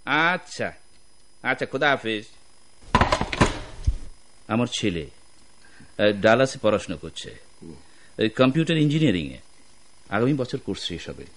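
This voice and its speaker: Lively, indulgent father and boss. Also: mid-range voice, measured pace, long pauses, slight background noise. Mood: genial. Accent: Bangladeshi. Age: middle-aged. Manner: mixes English into genial talk. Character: indulgent, busy middle-aged father and company boss. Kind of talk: radio drama acting.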